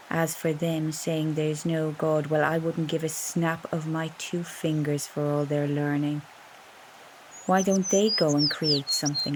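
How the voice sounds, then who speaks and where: A woman's voice: deep voice, pitched below the performer's own voice. A woman with an Irish accent playing a sensual, defiant wife lost in memories, in a dramatic literary stage monologue. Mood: defiant, scornful. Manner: dramatic, unhurried, swinging between emphatic bursts and breathy murmurs. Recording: live concert recording with background noise.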